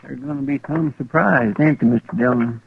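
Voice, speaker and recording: A man's voice: medium pitch, fairly quick, gravelly, natural voice. A man playing an eager, loyal deputy sidekick in a radio western, folksy and deferential. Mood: hushed, eager. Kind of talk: 1950s radio western acting. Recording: tinny, noisy old radio recording.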